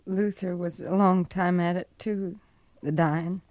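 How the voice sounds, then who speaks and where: Actress as slow-speaking, grieving frontier widow. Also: female voice, on the low side, fairly even intonation, darkish tone, a little hiss or hum, close to an everyday voice. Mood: numb grief. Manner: slow, drained, dramatic delivery. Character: grieving young frontier widow, bitter and stubborn. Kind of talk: 1950s radio western acting.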